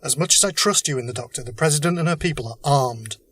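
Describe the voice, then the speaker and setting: Serious rebel leader guy, man acting, mid pitch, earnest and principled, audio drama. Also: fast, a bit bright, slightly rough, dry recording. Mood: grave, earnest. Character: grave, principled resistance cell leader, desperate but humane.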